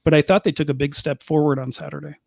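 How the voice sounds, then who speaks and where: A man's voice: fairly high pitch, level tone, fast, gravelly, a little mellow, no echo, clean studio sound. A man co-hosting a soccer talk show, chatting casually with frequent restarts. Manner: chatty, restarts sentences, light laughs. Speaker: podcast co-host. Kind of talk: casual chat. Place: press box at the stadium.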